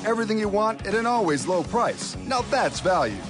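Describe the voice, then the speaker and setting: A man's voice: high-pitched, very animated, fairly quick. An American man reading a scripted radio advert as a voice-over announcer. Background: music bed.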